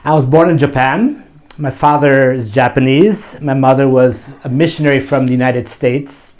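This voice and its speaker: A man talks in a measured, unhurried way, pausing on fillers as he recounts his past. His voice sits at an average pitch for a man. He answers as a church mission director in a TV interview. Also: fairly even intonation, darkish tone.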